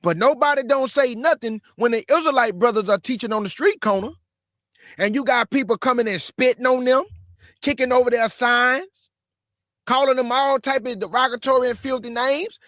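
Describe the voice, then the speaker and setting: High-voiced man scolding on his show. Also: normal speed, fairly bright tone, dry recording, clean studio sound. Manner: scolding, slangy, emphatic. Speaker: show host. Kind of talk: reaction commentary.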